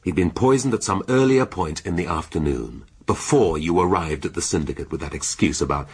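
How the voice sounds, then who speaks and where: An actor playing a shrewd, sardonic middle-aged British police inspector in a radio drama, speaking deliberately and with relish. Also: male voice, low-pitched, animated, a little mellow, husky. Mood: accusing. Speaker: police detective.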